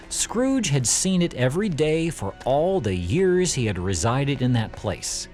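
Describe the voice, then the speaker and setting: A man narrating a classic story in audio theatre, with measured, emphatic, expressive delivery. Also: medium pitch.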